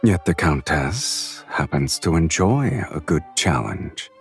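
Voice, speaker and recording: A man's voice: very deep voice, animated, vocal fry, soft background music, heavily acted. A man playing a self-assured fantasy nobleman in a romance game, speaking with dry, teasing ease. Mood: amused, assured. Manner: unhurried, dryly teasing. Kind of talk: scripted game romance acting.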